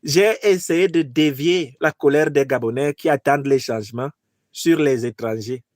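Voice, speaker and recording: Casting: male performer as sheepish army strongman turned president, insecure, halting, pleading, political satire, dry close recording. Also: a little high, darkish tone, no echo, natural voice. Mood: aggrieved. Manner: halting, repeats phrases.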